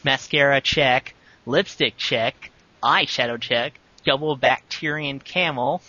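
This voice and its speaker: A man's voice: mid-range voice, takes it slowly, pushed and bright, husky, dry recording, dull audio, pitched below the performer's own voice, heavily acted. A man voicing a flamboyant, melodramatic young male superhero in a parody fan fiction, with a theatrical, overwrought delivery. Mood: prim muttering.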